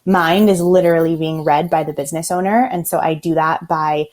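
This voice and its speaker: An American woman from Los Angeles, a website designer, chatting as a podcast guest in a fast, intense, sarcastic way. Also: sits low, fairly even intonation, a little mellow. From Los Angeles, USA. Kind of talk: casual interview chat.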